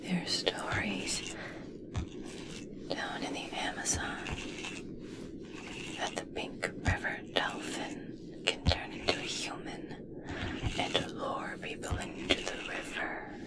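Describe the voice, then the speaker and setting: A woman playing an obsessed, eccentric scientist in an ASMR roleplay, speaking intimately in a breathy whisper. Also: rumbling low, wildly swooping pitch, full character voice. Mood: curious, coaxing. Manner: slow, intimate, wistful storytelling. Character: obsessed, eccentric female human scientist fascinated by merpeople.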